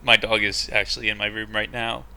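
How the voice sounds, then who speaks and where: Deep, bright-toned eager man. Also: level tone, fairly quick, vocal fry, clean tone. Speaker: podcast co-host. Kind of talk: casual fan podcast chat.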